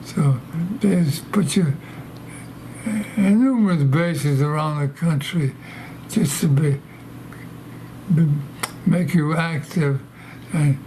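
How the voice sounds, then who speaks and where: A man's voice: on the high side, slow, pauses often, warm tone, slight background noise, low-quality recording. A man in his nineties, born in Poland, answering questions in an oral history interview, often repeating words and restarting. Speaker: veteran interviewee. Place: library interview room.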